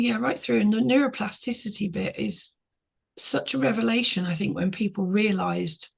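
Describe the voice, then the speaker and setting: Husky, gravelly-voiced woman podcaster. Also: mid-range voice. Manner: reflective, earnest, talks in short restarting phrases. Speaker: podcast co-host. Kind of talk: casual podcast conversation.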